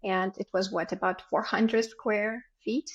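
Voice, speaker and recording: Gravelly Ukrainian-accented woman chatting. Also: mid-range voice, steady tone, unhurried, dull audio. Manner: storytelling, acts out family dialogue. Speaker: guest. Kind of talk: casual podcast chat.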